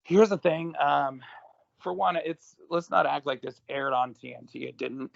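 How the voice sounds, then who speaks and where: A man co-hosting a casual wrestling podcast, raspy and hoarse, rambling with lots of ums and restarts. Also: a little high, steady tone, normal speed, no echo, lo-fi sound. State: hoarse from smoking. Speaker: podcast co-host.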